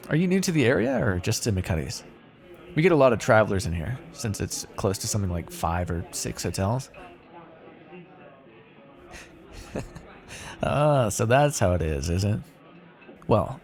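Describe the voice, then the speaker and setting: A man's voice: medium pitch, gravelly, darkish tone. A man playing a flirtatious, sardonic vampire in an ASMR roleplay, talking in a casual, teasing way with a hint of menace. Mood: flirty, self-deprecating. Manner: teasing, wry, casual conversational delivery.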